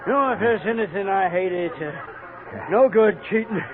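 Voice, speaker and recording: An actor playing a folksy, blustering, friendly brawler in a 1950s radio western. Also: male voice, high-pitched, normal speed, natural voice. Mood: chummy, grumbling. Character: rowdy, friendly frontier brawler in a western town. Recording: tinny old radio, crowd behind.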